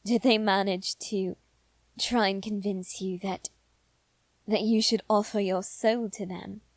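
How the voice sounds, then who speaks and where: A woman voicing an anxious aristocratic boy in a scripted audio roleplay, speaking formally and haltingly with dramatic pauses. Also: mid-range voice, fairly even intonation, no room sound, lightly acted. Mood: alarmed, scolding. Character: anxious aristocratic boy lord bound to demons. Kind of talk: scripted ASMR roleplay addressed to the listener.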